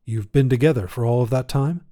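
Man playing a mellow, downcast young watchman. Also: medium pitch, brisk, lightly acted. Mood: curious, polite. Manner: hesitant, deferential. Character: young male station watchman, respectful, downcast, lovelorn. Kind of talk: acted dialogue in science fiction story reading.

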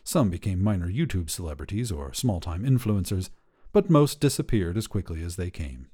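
A man reading short fiction as a podcast narrator, in a measured, careful way. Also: deep voice, sing-song, warm tone, slightly rough.

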